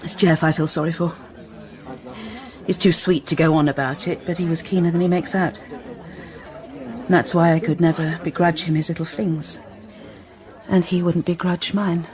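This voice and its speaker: A woman's voice: lowish voice, steady tone, moderate pace, long pauses, darkish tone, a faint crowd, close to an everyday voice, rough recording. A woman acting in a radio drama as a frank, flirtatious middle-aged socialite with an arch manner. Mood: wry, resigned. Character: seductive, worldly married socialite, frank and predatory.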